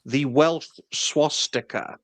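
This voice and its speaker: Podcast panelist, man, a bit high-pitched, sardonic, dry close recording. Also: slow pace, fairly bright tone, no echo. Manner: sarcastic, crude. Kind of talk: casual chat.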